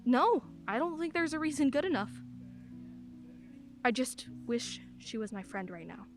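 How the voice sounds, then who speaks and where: A woman's voice: high-pitched, very animated, unhurried, soft background music, full character voice. An actress playing a wry, candid single mother in her forties in a radio play, a lawyer who laughs easily while talking. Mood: conversational, wry.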